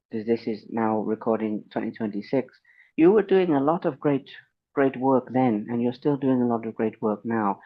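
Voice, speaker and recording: A man's voice: medium pitch, lo-fi sound. A man hosting an interview podcast, polite and effusive, with a slight sore throat. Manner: polite, effusive, thanks guests warmly. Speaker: podcast host. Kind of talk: friendly interview chat.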